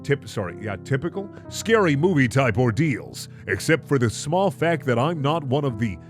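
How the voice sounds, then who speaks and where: A man's voice: mid-range voice, very animated, moderate pace, faint music behind. A man hosting a comedic reading, switching between mock-dramatic narration and casual, sarcastic commentary. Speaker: host reading and reacting to fan fiction.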